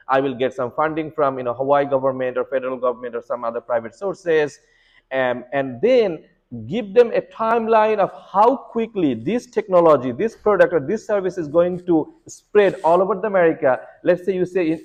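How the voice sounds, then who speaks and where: A man's voice: fairly high pitch, lo-fi sound. Earnest man giving legal advice. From Bangladesh. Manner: earnest, repetitive, many fillers and restarts. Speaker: immigration lawyer. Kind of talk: advice talk to students.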